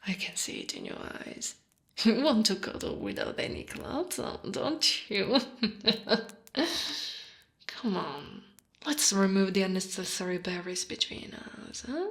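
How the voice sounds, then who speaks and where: A woman from Italy voicing a short female orc guard in intimate ASMR roleplay, gently dominant and doting, soft and breathy with slips into whispering. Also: medium pitch, huge pitch swings, slow pace, heavily acted. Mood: flirty, coaxing. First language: Italian.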